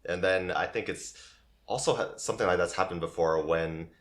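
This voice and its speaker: A man talking as a podcast guest, hesitant, pausing often with "uh" and "like". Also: sits low. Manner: hesitant, many "uh" and "like" fillers. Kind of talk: casual podcast conversation.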